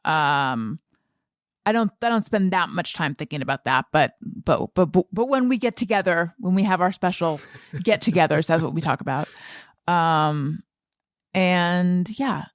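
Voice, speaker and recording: A woman hosting a podcast, talking casually and haltingly as she thinks aloud and repeats words. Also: on the low side, dry recording, studio quality. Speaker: podcast host and interviewer. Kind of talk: casual conversational podcast talk.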